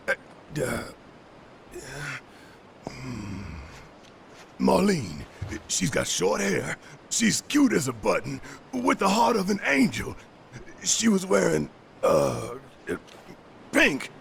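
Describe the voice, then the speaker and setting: A hot-tempered, loving father and fighter from a video game. His gravelly voice swings widely in pitch and goes at a slow, emotional pace. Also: wildly swooping pitch, a little hiss or hum, exaggerated voice. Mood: sheepish, tender. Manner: emotional, blustering, halting when softened.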